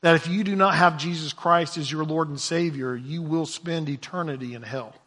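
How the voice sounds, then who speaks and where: A man preaching a sermon, dropping to hushed low lines and rising to loud shouted emphasis, often repeating words as he goes. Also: moderate pace, in a large hall. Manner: repeats words when stumbling.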